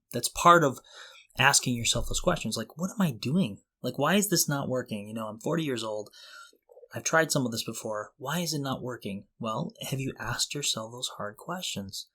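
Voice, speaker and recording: A man's voice: mid-range voice, pushed and bright. A middle-aged man co-hosting a podcast, talking casually in an earnest, encouraging, motivational way. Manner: earnest, encouraging, poses questions to the listener. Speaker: podcast co-host.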